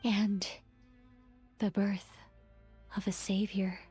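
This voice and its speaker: A mysterious, ominous female prophet in a video game. Her delivery is extremely slow and breathy, almost whispered and dramatic, with faint music underneath. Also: mid-range voice, little pitch movement, darkish tone.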